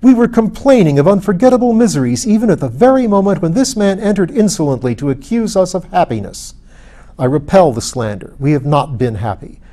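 A man's voice: high voice, sing-song, slow, hardly any pauses, a little mellow, voice pushed up, heavily acted. A man reading a storybook, voicing an earnest defender of order in fervent, oratorical speech. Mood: fervent, indignant.

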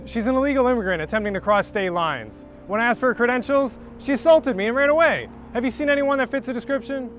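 A man's voice: extremely high pitch, fast talker, hardly any pauses, fairly bright tone, soft background music. A man playing a middle-aged impostor policeman, curt, commanding and bullying, in a scripted short drama. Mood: stern, official. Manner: clipped, commanding. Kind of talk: scripted short-film acting. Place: outdoors on street and station platform.

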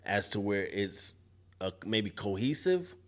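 A man's voice: fairly low pitch, big pitch swings, takes it slowly. A man in his forties, a barber talking on a podcast with a studio mic in a barbershop space, thoughtful and often restarting his sentences. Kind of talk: casual panel discussion. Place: barbershop event space, indoors. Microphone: podcast mic on boom arm.

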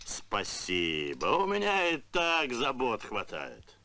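An actor playing a grumbling, practical spaceship mechanic in an animated film. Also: male voice, medium pitch, takes it slowly, exaggerated voice.

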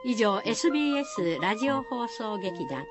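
A woman's voice: medium pitch, sing-song, normal speed. A woman reading scripted folktale narration for a radio drama. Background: music.

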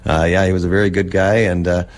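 A man's voice: deep voice, level tone, fast talker. A man in his forties chatting casually as an interview guest, reminiscing in rambling, loosely strung sentences. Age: 40s. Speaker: interview guest, old-time radio collector.

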